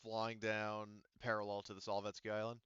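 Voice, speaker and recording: A man running a tabletop roleplaying game as game master, casually narrating and thinking aloud in a halting way. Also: lowish voice, completely flat delivery, fairly bright tone, clean tone, no echo, dull audio.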